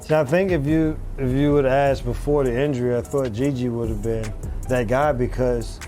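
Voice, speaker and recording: A man giving sports analysis as a guest, measured, with repeated restarts. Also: mid-range voice, steady tone, mellow, faint music behind.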